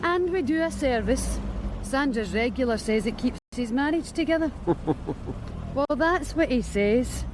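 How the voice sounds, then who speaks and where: A wry Scottish street worker. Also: female voice, high-pitched, expressive, unhurried, noisy background. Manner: blunt, wry, matter-of-fact. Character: streetwise Glasgow street worker, young woman. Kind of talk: BBC radio drama acting.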